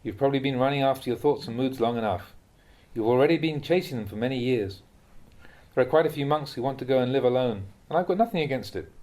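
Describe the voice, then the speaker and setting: Man reading spiritual teachings, measured delivery. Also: mid-range voice, lively intonation, darkish tone. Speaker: reader of translated Buddhist teachings. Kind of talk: audiobook-style reading of spiritual talks.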